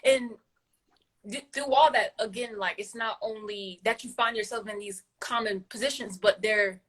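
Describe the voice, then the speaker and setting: A woman's voice: mid-range voice, moderate pace, a bit bright. A woman hosting an interview over a video call, casual and encouraging, often repeating words as she gathers her thoughts. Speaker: podcast host.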